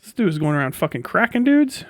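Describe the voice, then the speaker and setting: A man's voice: high-pitched, very animated, quick pace, fairly bright tone, no echo, studio quality. A man chatting casually as a live streamer, rambling and sardonic, reading things aloud and swearing offhandedly.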